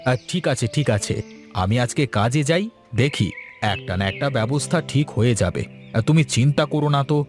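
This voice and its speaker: A man's voice: mid-range voice, animated, fairly quick, close to an everyday voice. Man acting an easygoing village uncle over music. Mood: reassuring. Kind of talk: cartoon fairy tale dialogue.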